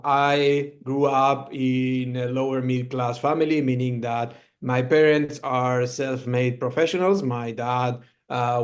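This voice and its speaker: A middle-aged man from Argentina, a guest founder speaking over a video call and telling his story in a relaxed way, with frequent fillers. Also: medium pitch. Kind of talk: storytelling presentation.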